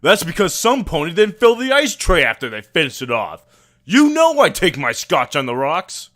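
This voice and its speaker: Man acting loud, angry drunkard cartoon stallion. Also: on the high side, wildly swooping pitch, normal speed, voice pushed up. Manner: shouting, cursing. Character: angry hard-drinking male cartoon pony husband.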